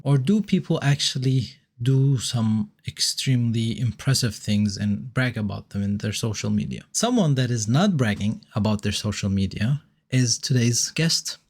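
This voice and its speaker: Male host, upbeat scripted podcast intro, mid pitch. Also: moderate pace, darkish tone. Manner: upbeat, rhetorical questions.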